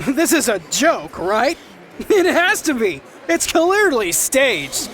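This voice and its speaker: Skeptical bystander: incredulous, hesitant, unhurried but very inflected delivery. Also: high-pitched, big pitch swings, a bit bright, a little hiss or hum, heavily acted. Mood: disbelieving. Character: baffled skeptical townsperson spectator in a fantasy game. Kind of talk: video game character acting.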